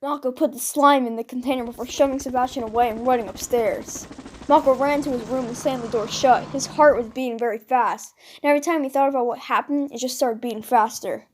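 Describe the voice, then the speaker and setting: A woman's voice: high voice, steady tone, brisk. A woman narrating a comedic fan fiction story in a dramatic storytelling style. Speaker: fan fiction writer and reader.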